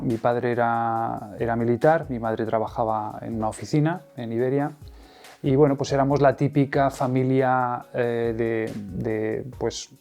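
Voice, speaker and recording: Hesitant man, mellow voice. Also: medium pitch, gravelly. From Madrid, Spain. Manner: hesitant, many fillers and restarts. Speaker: interview guest. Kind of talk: personal testimony interview.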